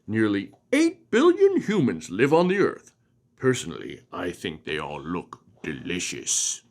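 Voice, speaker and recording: A man's voice: fairly low pitch, wildly swooping pitch, unhurried, a little mellow, voice pushed down, heavily acted. A man voicing a sly, hungry crocodile in a storybook, savoring his words with sinister relish.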